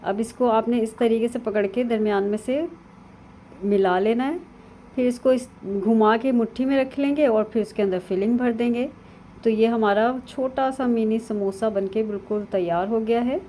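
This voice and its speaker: A woman, calmly narrating a cooking lesson step by step over video of her hands at work. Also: fairly high pitch, moderate pace, slight background noise, poor audio. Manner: calm, instructive, with frequent fillers. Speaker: cooking channel host. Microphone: voice-over over hands-only video.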